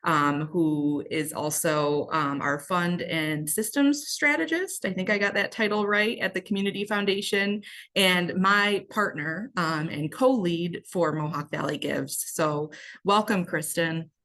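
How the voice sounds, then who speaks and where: A woman's voice: on the low side, a little slow, a bit bright. A woman co-hosting a webinar over a video call. She gives housekeeping notes in a hesitant way, with many fillers. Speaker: webinar co-host. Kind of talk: webinar introduction.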